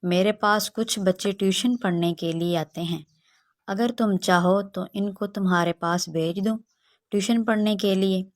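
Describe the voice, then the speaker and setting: A woman's voice: medium pitch, steady tone, a little slow, close to an everyday voice. A woman voicing a kindly, encouraging village schoolteacher who gives earnest advice in a children's moral story.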